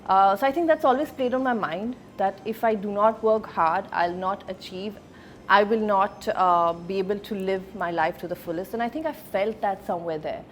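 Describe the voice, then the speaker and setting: A woman in her thirties from Sweden, a film producer speaking reflectively as an interview guest, with many pauses and fillers. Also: medium pitch, a little hiss or hum.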